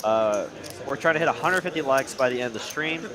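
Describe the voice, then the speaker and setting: An upbeat young man hosts a live poker broadcast, thanking viewers and reading chat aloud at an ordinary mid pitch. A loud card-room crowd chatters behind him. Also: fairly quick. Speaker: livestream host and poker commentator. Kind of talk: live poker stream commentary.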